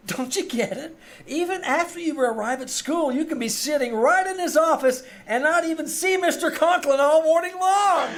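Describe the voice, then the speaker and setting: Woman playing a high-voiced, florid teenage schoolboy. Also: normal speed, lightly acted. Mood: cheeky. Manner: theatrical, pompous flourishes. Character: eager, florid teenage schoolboy, earnest and cheeky. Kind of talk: old-time radio comedy acting. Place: small town theater stage.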